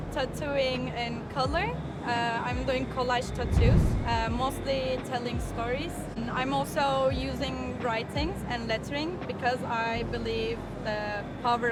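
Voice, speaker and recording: A woman in her twenties with a Turkish accent, a tattoo artist giving a convention interview in earnest, halting second-language English. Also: on the high side. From Turkey. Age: 20s. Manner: earnest, halting non-native phrasing. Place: busy convention hall.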